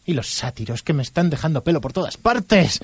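A man's voice: a little high, very animated, brisk, breathy edge, dry recording. A man with an accent from Spain playing a harried, grumbling team worker in a radio drama. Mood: exasperated. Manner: exasperated, colloquial.

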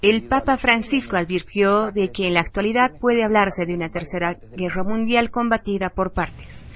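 A woman's voice: mid-range voice, normal speed, a crowd in the background. A woman presenting radio news, reading scripted headlines and reports. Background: music.

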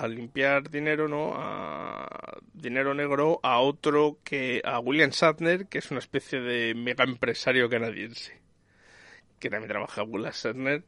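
Creaky, rambling man reviewing casually. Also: medium pitch, fairly bright tone, dry recording. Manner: rambling, searching for details. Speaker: series reviewer and podcast host.

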